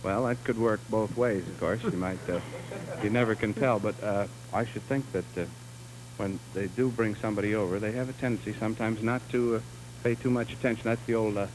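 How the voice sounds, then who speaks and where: A man's voice: lowish voice, steady tone, a little fast, noisy background. A man hosting a live television beauty show, jovial and loose, often chuckling. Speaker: TV show host. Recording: old 1950s TV broadcast sound.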